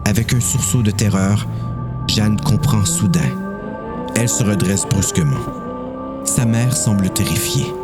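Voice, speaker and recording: A Québécois man narrates a dramatized story from a script. His voice is low. Music runs clearly underneath the narration. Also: a little slow, pauses often.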